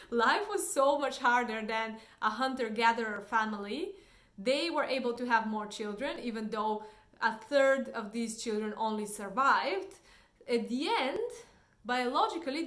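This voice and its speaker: A woman's voice: on the high side, a bit bright. A woman with a Bulgarian accent explaining ideas informally as a book reviewer. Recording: roomy, echoing indoor sound.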